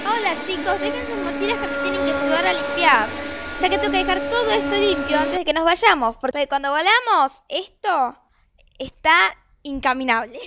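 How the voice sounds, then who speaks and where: A woman's voice: sits high, animated, normal speed, background noise. A woman with an Argentine accent playing a bossy, harried housewife and mother who nags her family in an amateur radio drama. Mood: brisk. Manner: exasperated, commanding.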